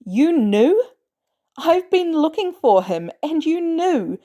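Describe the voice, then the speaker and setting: A woman's voice: high voice, big pitch swings, takes it slowly, warm tone, voice pushed up, full character voice. A woman voicing a loyal, guarded male soldier in an audiobook, earnest and emotional. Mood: hurt, angry.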